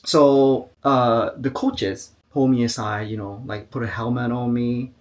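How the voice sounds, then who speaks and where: A man with an American accent, co-hosting a podcast and telling a story in a casual, lively way with many fillers. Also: mid-range voice, measured pace. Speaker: podcast co-host. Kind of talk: casual chat.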